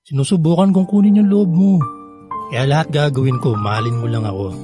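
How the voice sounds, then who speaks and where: An actor playing an earnest, lovestruck young suitor in a Filipino radio drama, pleading and sweet-talking with a Filipino accent. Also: male voice, a little high, brisk, over music, close to an everyday voice. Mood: pleading, romantic.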